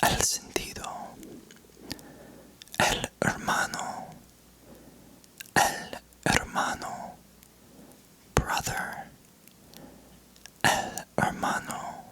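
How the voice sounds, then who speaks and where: A young man softly whispering a slow ASMR vocabulary lesson, repeating each word calmly. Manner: soft unvoiced whisper, slow word-by-word repetition. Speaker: ASMR creator teaching vocabulary.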